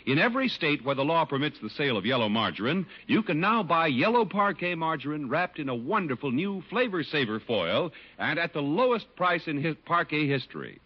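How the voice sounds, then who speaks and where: Male American 1950s radio announcer, lively swooping scripted delivery, muffled old broadcast sound. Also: a little high, a little fast, hardly any pauses, husky. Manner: polished, emphatic. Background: music.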